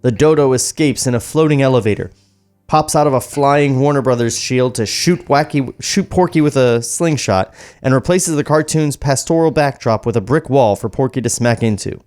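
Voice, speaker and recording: A man's voice: mid-range voice, moderate pace, darkish tone. A man hosting a podcast, reading screenplay stage directions aloud into a studio microphone. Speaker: podcast host and script narrator.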